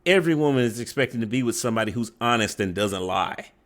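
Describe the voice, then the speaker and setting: A man commenting on a dating show clip in a judgmental tone, halting with frequent fillers and restarts, often tagging his points with "right?". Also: medium pitch, animated, a bit bright. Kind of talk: reaction commentary.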